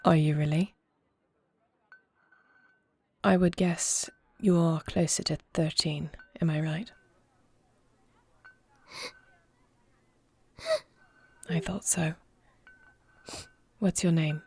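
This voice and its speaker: Woman acting a low, husky, regal young princess. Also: fairly even intonation, darkish tone, exaggerated voice. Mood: gentle, probing. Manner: formal, solemn, deliberate phrasing. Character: young adopted princess and war leader, earnest, measured, regal. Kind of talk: scripted audio roleplay acting.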